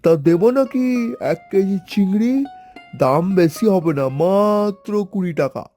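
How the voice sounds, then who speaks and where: An actor plays a persuasive fish seller in a cartoon. The voice is mellow and coaxing, with plucked-string music behind it. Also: mid-range voice, lively intonation, measured pace, close to an everyday voice. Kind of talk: Bengali cartoon voice acting.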